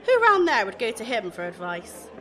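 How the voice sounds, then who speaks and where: High, bright young shop girl. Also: huge pitch swings, fairly quick, full character voice. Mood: chatty, defensive. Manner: colloquial, gossipy, blunt. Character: chatty, aggrieved young shop assistant in seaside town. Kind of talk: radio play comedy acting.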